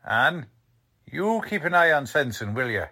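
A man playing a shrewd, suspicious investigator in a 1940s-style radio drama, questioning deliberately in colloquial, ungrammatical speech. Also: a little high, big pitch swings, sharp tone, full character voice. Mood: suspicious, probing. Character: shrewd streetwise male investigator, quietly suspicious.

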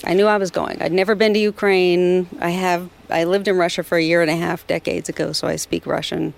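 An American woman with a fairly low voice speaks as an interview guest. She tells her story conversationally, with emphatic, repeated phrases. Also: level tone, fairly quick, gravelly. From southern California. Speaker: interview guest, volunteer rescuer.

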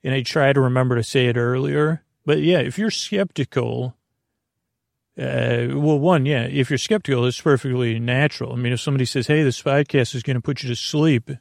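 A middle-aged man with a gravelly, creaky voice at an ordinary pitch, wandering from thought to thought in a slow, goofy bedtime ramble. He interrupts himself and drifts off topic. The sound is dry and close, with no room around it. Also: no echo. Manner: meandering, self-interrupting, goofy asides. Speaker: sleep podcast host.

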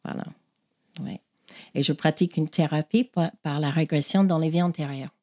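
A woman's voice: deep voice, moderate pace, mellow. A woman in her fifties, born in Ireland and raised in Australia, speaking French as a second language with many hesitations as an interview guest. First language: English. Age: 50s. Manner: hesitant, restarts often, many fillers. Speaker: guest therapist. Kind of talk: casual interview.

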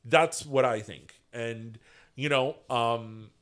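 A man's voice: mid-range voice, slow pace. A man co-hosting a casual podcast chat, talking in a Cuban American accent and slipping Spanish phrases into his English. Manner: animated, drops into Spanish phrases. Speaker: podcast co-host.

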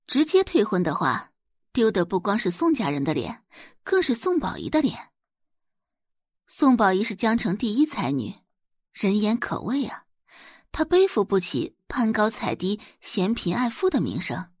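A woman's voice: mid-range voice, huge pitch swings, normal speed, slightly rough, no room sound, studio quality. A woman giving dramatic scripted narration as the narrator of an audio drama.

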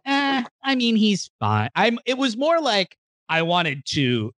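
Forties guy cracking jokes on a podcast, swings his pitch a lot, dry sound. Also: slow pace, dry recording. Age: 40s. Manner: joking, animated storyteller. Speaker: podcast co-host. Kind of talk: casual chat.

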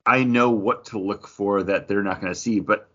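Man, low husky voice, casual podcast chat, halting delivery with lots of fillers, dull lo-fi audio. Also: steady tone, bright, forceful tone. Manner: halting, filler-heavy, acting out imagined lines as he talks. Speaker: podcast co-host reviewing comic books.

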